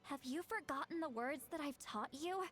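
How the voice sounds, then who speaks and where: An actress playing a stern, exasperated young woman in a video game, scolding with brisk authority. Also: high-pitched, fairly bright tone.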